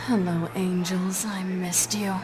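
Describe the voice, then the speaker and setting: An actress playing a cool, sardonic half-vampire huntress in a video game, dry and confident in manner. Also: female voice, lowish voice, level tone, takes it slowly. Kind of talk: video game cutscene acting. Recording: music behind the voice.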